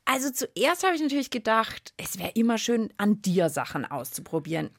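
Playful female radio host, lively pitch. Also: normal speed, no room sound, studio quality. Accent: German. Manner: playful, laughs often. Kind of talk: lively children's radio chat. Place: radio studio. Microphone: studio microphone.

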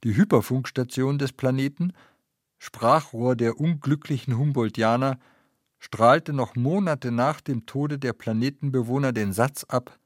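Dry-sounding male narrator, measured. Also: medium pitch, dry recording. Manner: measured, factual. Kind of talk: literary scripted narration.

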